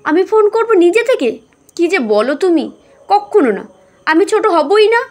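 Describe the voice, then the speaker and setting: A woman's voice: very high-pitched, big pitch swings, measured pace, voice pushed up, exaggerated voice. A woman voicing a proud, emotional young daughter in love in an audio story. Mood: indignant, stubborn. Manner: emotional, stubborn.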